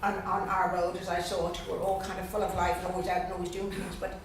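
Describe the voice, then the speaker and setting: Middle-aged Irish woman, guest poet, measured and unhurried reading, loose and chatty between pieces; picked up far from the mic in a very echoey room. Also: on the low side, little pitch movement, slight background noise. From Dublin, Ireland. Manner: slow, measured reading with pauses; chatty and hesitant between poems. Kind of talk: poetry reading at a literary evening. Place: event room at a literary gathering.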